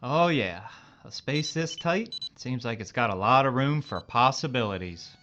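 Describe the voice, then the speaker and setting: A man's voice: medium pitch, lively intonation, normal speed, fairly bright tone, natural voice. A man playing a wisecracking, game spaceship crewman in a sci-fi audio drama, with a casual, wry delivery. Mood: flirty, playful. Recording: dull recording with clear background noise.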